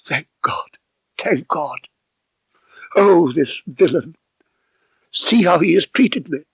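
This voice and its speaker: A man's voice: fairly high pitch, lively intonation, slow, gravelly, husky, dry recording, lo-fi sound, voice pushed up, exaggerated voice. A man voicing an anguished, bitter woman, an abused wife, with impassioned melodrama in an audiobook story.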